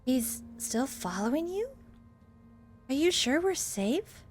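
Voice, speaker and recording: A woman's voice: sits high, big pitch swings, takes it slowly, a little mellow, soft background music, voice pushed up, heavily acted. A woman voicing a friendly, worried young woman in a horror game, in a conversational way.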